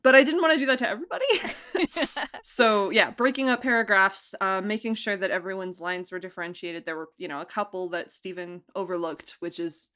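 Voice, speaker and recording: A woman's voice: mid-range voice, a little fast, sharp tone, clean tone. A woman, an executive producer, being interviewed in a casual chat, talking in a rambling way with frequent laughs and restarts. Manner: chatty, laughs often, many likes and restarts.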